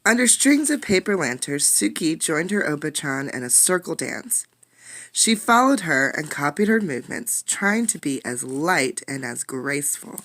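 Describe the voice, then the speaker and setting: A woman's voice: low voice, normal speed. Woman reading a picture book aloud to children. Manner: expressive, explains words and asks listeners questions. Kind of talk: interactive children's read-aloud.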